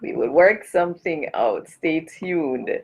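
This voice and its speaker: A woman's voice: low voice, animated, takes it slowly, a little mellow, slightly rough. A woman from Jamaica chatting casually on a live stream as a cultural advocate, greeting and speaking straight to her viewers. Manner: chatty, greets and addresses viewers directly, gives blessings.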